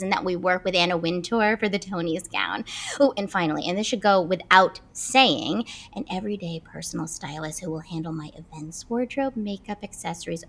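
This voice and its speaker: Bright-voiced, imperious theatre diva. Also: female voice, lowish voice. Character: demanding, self-absorbed diva actress on a call. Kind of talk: comedic audio drama acting.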